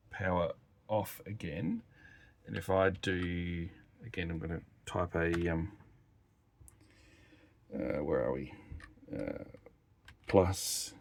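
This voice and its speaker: Low, gravelly man demonstrating tech. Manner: hesitant, thinking aloud while typing. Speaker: developer demonstrating hardware. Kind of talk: casual live technical demo, talking while working. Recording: noisy room with keyboard clicks. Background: typing and clicking at a workbench keyboard.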